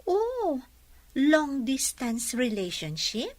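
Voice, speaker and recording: A woman's voice: high-pitched, wildly swooping pitch, extremely slow, no echo, heavily acted. A woman with an Ilocano accent acting a chatty, nosy young office newcomer in a radio drama. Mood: nosy, probing.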